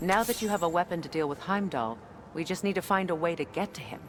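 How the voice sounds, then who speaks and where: A woman's voice: lowish voice, lively intonation, fast, noisy background, natural voice. An actress playing a tough, pragmatic female warrior in a video game, speaking directly and in a businesslike way. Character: brisk, tough, pragmatic warrior woman ally. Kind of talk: cinematic video game acting.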